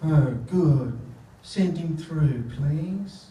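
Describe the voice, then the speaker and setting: An actor playing a dry, unhurried, deadpan psychologist in a comedy radio play on stage. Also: male voice, a little high. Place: theatre stage, picked up from afar. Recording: roomy distant stage recording, heavy noise.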